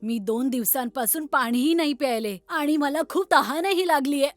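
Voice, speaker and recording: Parched, high-voiced old woman, acted by a younger-sounding performer. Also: animated, a little slow, faint music behind. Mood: pleading, grateful. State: coughing, dry-throated. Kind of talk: children's cartoon story dialogue.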